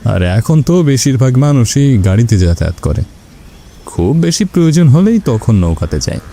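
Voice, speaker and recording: A man's voice: mid-range voice, very animated, normal speed, warm tone, slight background noise. A man playing a concerned, insistent ferry passenger in a ghost story cartoon, matter-of-fact in manner.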